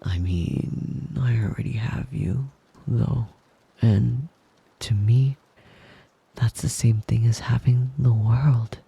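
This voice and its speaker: A man acts out a yandere wolf boy boyfriend for ASMR, very breathy and husky with a gravelly edge. He murmurs slowly and close, sweet and doting with possessive menace underneath, often slipping into a whisper. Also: mid-range voice, fairly even intonation, dark tone, lo-fi sound, exaggerated voice. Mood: tender, besotted. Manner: cooing praise, slow, with little chuckles. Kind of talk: intimate ASMR roleplay monologue. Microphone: close mic.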